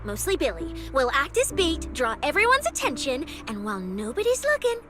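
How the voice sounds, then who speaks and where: A woman's voice: high voice, wildly swooping pitch, fairly quick. A woman voice acting a lazy, food-loving young policewoman in a video game, playful and hammy, with hesitant little hums and asides. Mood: resigned, scheming. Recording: music behind the voice.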